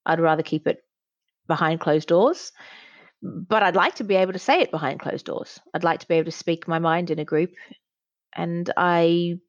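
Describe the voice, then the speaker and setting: Low-pitched woman, slightly dull recording. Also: moderate pace, dry recording. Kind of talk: casual chat.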